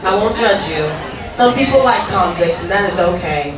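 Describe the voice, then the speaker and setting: A woman's voice: mid-range voice, lively intonation, moderate pace, over music, a crowd in the background. A woman with a Texan accent hosting a lounge game event, sassy and joking. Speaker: event host. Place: large lounge on a cruise ship. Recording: distant voice over speakers in a roomy lounge.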